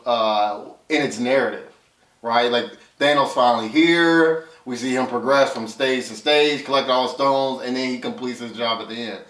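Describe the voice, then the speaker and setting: A man's voice: medium pitch, a bit bright. A man co-hosting a casual film review, explaining his opinions conversationally and reasoning them out step by step. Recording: roomy echo, distant from mic.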